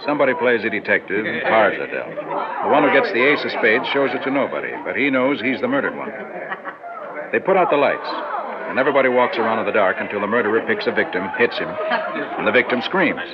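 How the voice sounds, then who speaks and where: A man's voice: on the low side, expressive, brisk, over a noisy crowd, lightly acted. An actor playing an ambitious, earnest businessman in an old-time radio drama, sometimes hesitant in his delivery. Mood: reflective. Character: ambitious, earnest businessman recalling an awkward youthful romance. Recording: muffled, noisy vintage radio recording.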